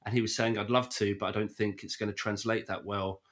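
Podcast co-host: British male, lowish husky voice with a cold, enthusiastic and chatty. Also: fairly quick. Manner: excitable, enthusiastic fan. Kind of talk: casual podcast chat.

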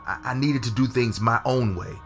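A man's voice: mid-range voice, normal speed, close to an everyday voice. A man playing an earnest lover who confides dangerous secrets in an audio drama. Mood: urgent, frank. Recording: music under the voice.

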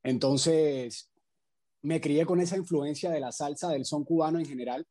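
A man, a singer answering interview questions reflectively, with measured phrasing. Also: fairly high pitch, mellow. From Colombia. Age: 20s. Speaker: salsa singer, interview guest.

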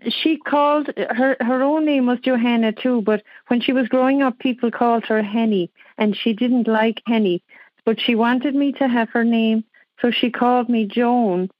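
Higher-voiced woman caller, dull dry audio. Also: steady tone, moderate pace, no echo. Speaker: caller to a radio-style show. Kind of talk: casual conversation.

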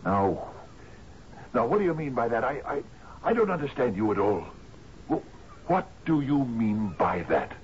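An actor playing a doddering, sentimental old island sea captain who stammers and repeats himself, in a 1970s radio drama. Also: male voice, mid-range voice, sing-song, a little slow, pauses often. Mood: bewildered. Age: older. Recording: dull, noisy old radio recording.

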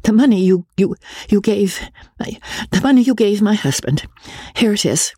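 A timid young wife, as a male narrator puts her on, stammering and halting in a raised, breathy voice. Her pitch leaps about a great deal, and the sound is dry and close. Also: wildly swooping pitch, dark tone, dry recording, full character voice. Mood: flustered.

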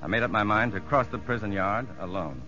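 A man's voice: lowish voice, lively intonation, a little fast, sharp tone. An actor playing a shrewd, composed prison psychologist who narrates his story in a 1950s radio drama, educated and dryly skeptical. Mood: reflective narration. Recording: muffled vintage radio broadcast with music.

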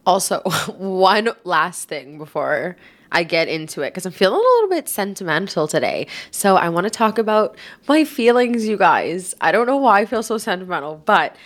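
A woman's voice: on the low side, sing-song, normal speed. A woman in her twenties hosting a casual solo podcast, chatty and rambling, filling her talk with "like", with a stuffy nose from a cold. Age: 20s. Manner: chatty, rambling, says "like" a lot. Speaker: podcast host.

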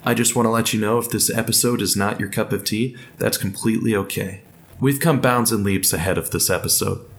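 A man gives a podcast introduction in a low-to-middle, gravelly voice with plenty of fry. He is informal and encouraging as he addresses listeners. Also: a little fast. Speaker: podcast creator and host.

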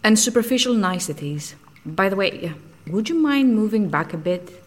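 A woman acting in an audio drama as a blunt, anxious prisoner who catches every lie, speaking in a curt, deadpan way. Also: mid-range voice, moderate pace, lightly acted. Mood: coolly probing.

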